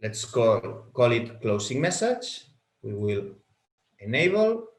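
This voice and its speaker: A man whose first language is Spanish, leading a software walkthrough webinar as a product trainer, halting and repeating words as he clicks through settings. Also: mid-range voice, very animated, roomy sound.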